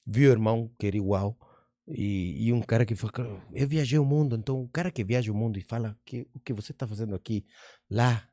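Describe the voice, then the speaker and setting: Gravelly man with a Spanish accent. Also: on the low side, quick pace, dark tone, dry recording. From Argentina. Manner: rambling, restarts, drifts into Spanish words. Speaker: guest, magician and comedian. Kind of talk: casual storytelling in an interview.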